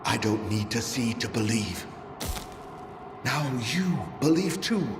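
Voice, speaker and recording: An actor playing a taunting, zealous cult leader in a video game cutscene, preaching and mocking in a theatrical way. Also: male voice, medium pitch, expressive, slow. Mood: smug, coaxing. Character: zealous, taunting male cult leader of a post-apocalyptic zone. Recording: echoey hall, music behind.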